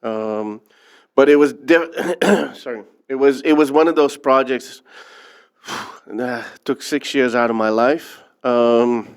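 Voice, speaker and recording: Smooth-voiced earnest male guest. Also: mid-range voice, takes it slowly. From Netherlands. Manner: earnest, reflective storytelling. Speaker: filmmaker guest. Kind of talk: interview conversation.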